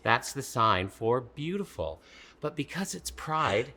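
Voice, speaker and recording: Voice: mid-range voice, big pitch swings, slow pace, sharp tone. A man co-hosting a children's storytime with a playful, theatrical, exuberant way of talking and reading.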